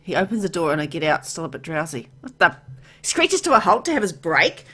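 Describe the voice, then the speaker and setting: A woman's voice: lowish voice, very animated, fairly quick, fairly bright tone. A woman reading a visual novel aloud as she plays, mixing narration with casual asides.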